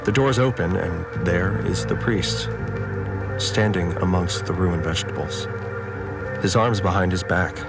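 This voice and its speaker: A male actor playing a troubled, obedient farmer confined on a spaceship, giving a halting, confessional audio diary in a science fiction drama. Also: deep voice, creaky. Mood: guilty, anxious. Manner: halting, repeats words, confessional. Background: music.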